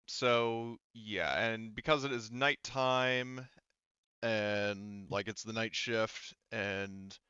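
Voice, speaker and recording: A man narrates a tabletop game as its game master, casually and off the cuff. His voice is clear and forward, with a bright edge. He rambles and halts as he builds the scene, on slightly dull, lo-fi audio. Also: lowish voice, no echo. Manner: halting, rambling, conversational.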